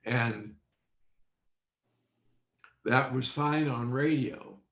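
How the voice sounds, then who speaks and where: Slow-talking older man, echoey sound. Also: medium pitch, vocal fry, fairly bright tone. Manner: halting, deliberate. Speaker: interview guest, former child radio quiz contestant. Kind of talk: reminiscing in an interview. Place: remote video call. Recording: dull, echoey video-call audio.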